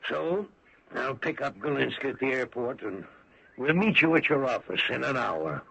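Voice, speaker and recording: An actor playing a hard-nosed, insistent American small-town official in an old radio drama, emphatic and persuasive. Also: male voice, medium pitch, expressive, normal speed, gravelly, background noise. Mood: firm. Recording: dull vintage radio broadcast recording.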